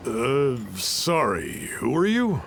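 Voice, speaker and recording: A man's voice: medium pitch, wildly swooping pitch, slow pace, gravelly, background noise, full character voice. A man playing an irritable, ordinary townsman in a video game, wary and curt. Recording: music behind the voice.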